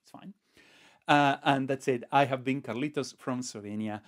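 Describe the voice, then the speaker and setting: A man's voice: mid-range voice, moderate pace. Methodical man teaching to camera. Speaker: music teacher, online video host. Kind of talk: instructional explanation to camera.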